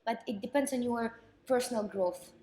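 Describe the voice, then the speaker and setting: A woman from Ukraine giving reflective interview answers that trail off softly. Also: on the high side, moderate pace, roomy sound. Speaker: event participant. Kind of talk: casual on-camera interview.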